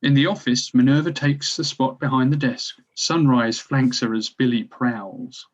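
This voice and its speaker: A man narrating scripted stage directions at a table read. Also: medium pitch. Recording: slightly dull, lo-fi recording.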